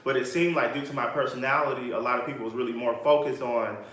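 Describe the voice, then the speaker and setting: A man's voice: medium pitch, steady tone, fast, a bit bright, heavy reverb, low-quality recording. A man in his late twenties, a podcast guest, talking candidly and bluntly in casual interview chat and swearing freely. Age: 20s.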